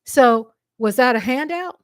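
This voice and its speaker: A woman's voice: high voice, animated, unhurried, dry recording, clean studio sound. A woman with a Texan accent speaking straight to her viewers, passionate and emphatic, and she repeats phrases for stress. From Texas. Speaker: vlogger answering a commenter. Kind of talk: impassioned direct-to-camera monologue.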